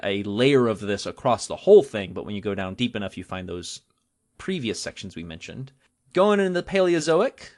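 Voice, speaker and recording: A man co-hosting a podcast, explaining natural history in a casual, informal and jokey way with drawn-out emphasis on key terms. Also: medium pitch. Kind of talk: casual explanatory talk with a co-host.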